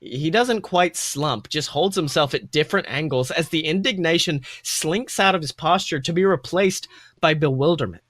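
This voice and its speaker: A man reading fan fiction aloud as a podcast host, with expressive story narration. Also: on the high side, moderate pace, no echo.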